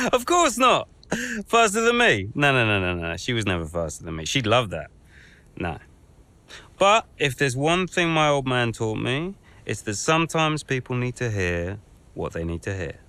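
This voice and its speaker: A man's voice: mid-range voice, sing-song, unhurried, bright, forceful tone. An actor playing a glib, sarcastic young man who speaks in a mocking tone to his sister. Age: young adult. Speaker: racing team member. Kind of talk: video game cutscene acting. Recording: game cutscene with vehicle ambience.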